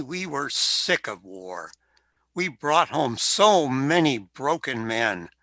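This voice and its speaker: An actor playing the ghost of a World War One veteran, telling his story slowly in an amateur radio play. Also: on the high side, gravelly, bright, forceful tone, dry recording, exaggerated voice. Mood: somber.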